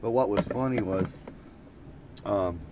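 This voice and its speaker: A man's voice: fairly low pitch, takes it slowly, dark tone, a little hiss or hum. A man from Oklahoma with an Oklahoma accent, rambling in a folksy, casual live chat from an old camper van while reading viewer comments. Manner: rambling, folksy, laughs often.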